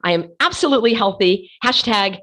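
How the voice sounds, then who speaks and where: A woman hosting an online commentary show, hoarse from laryngitis, talking passionately and hammering her points with repeated words. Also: mid-range voice, animated, a little fast, fairly bright tone. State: losing her voice. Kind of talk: casual commentary while sharing her screen.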